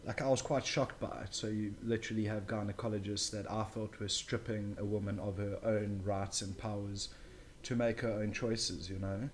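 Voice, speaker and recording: A man's voice: lowish voice, flat delivery, moderate pace, few pauses, a little hiss or hum. A young man speaking as an interview guest, earnest and emphatic, giving his opinions in a wordy, conversational way. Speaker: interview guest, new father.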